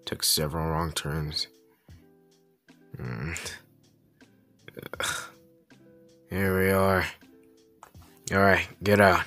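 Man playing a slow, low, drawling villain boyfriend. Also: fairly bright tone. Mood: annoyed, amused.

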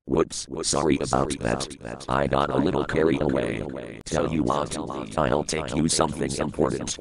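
A man's voice: steady tone, moderate pace, over music. A man voicing a sinister, scheming male villain in a fan comic dub, taunting and menacing. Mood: false calm. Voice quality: deep gravelly voice. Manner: taunting, then falsely soothing.

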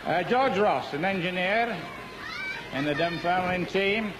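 A man's voice: high-pitched, expressive, a little slow. A man with a Yorkshire accent giving jokey, cheeky live commentary on an outdoor game show. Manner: bantering, cheeky, chuckling. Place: outdoor park event. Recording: outdoor event, crowd and background noise.

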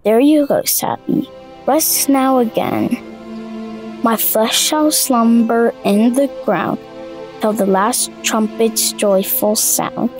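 A woman playing an uneasy dreamer haunted by a ghost, talking to herself haltingly. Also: fairly high pitch, a little mellow, faint music behind, rough recording. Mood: gentle, solemn. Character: uneasy dreamer drawn to mend a ghost's gravestone.

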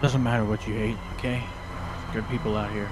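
A man's voice: lowish voice, little pitch movement, brisk, very noisy, lightly acted. A man playing a blunt, folksy lumberyard co-owner in an improvised online roleplay, giving short instructions.

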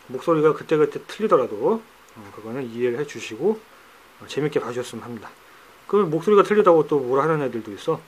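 A middle-aged man with a hoarse, cracking voice, talking casually in a how-to vlog about his pets, recorded on a handheld phone indoors. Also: mid-range voice, low-quality recording. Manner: chatty, teasing, drops into banter. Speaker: pet keeper vlogger. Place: indoors among pet enclosures.

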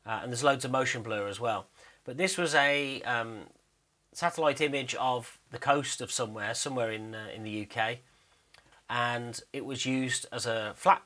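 A man with a bright, forward voice at an average pitch talks casually through 3D animation work. He is explanatory and unscripted, pausing to think aloud as he narrates what is on his screen.

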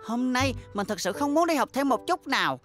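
A woman's voice: high voice, animated, moderate pace, a bit bright. A woman voicing a sensitive, earnest young schoolboy in a cartoon dub, with a childlike delivery. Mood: happy. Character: sensitive young schoolboy, weary of extra classes.

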